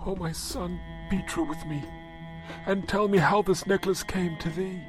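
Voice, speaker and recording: A man voicing a grieving, dignified old father in an audio drama, with halting, formal, archaic phrasing. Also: sits high, fairly even intonation, music in the background, pitched above the performer's own voice, natural voice. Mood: solemn, coaxing.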